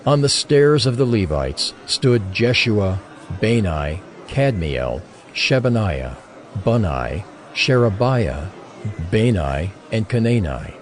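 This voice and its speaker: A man reading scripture as the narrator of an audio drama, in a measured, formal manner. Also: lowish voice, big pitch swings, a little hiss or hum.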